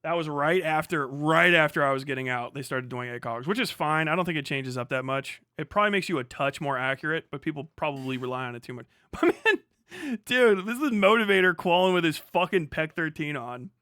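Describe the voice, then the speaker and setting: A middle-aged man with a fairly high, bright and punchy voice, recorded dry and close. He talks like a livestream host reacting off the cuff, loose and slangy, swearing freely as he rambles. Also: expressive, a little fast, dry recording. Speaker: livestream host, former Marine. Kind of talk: casual reaction commentary.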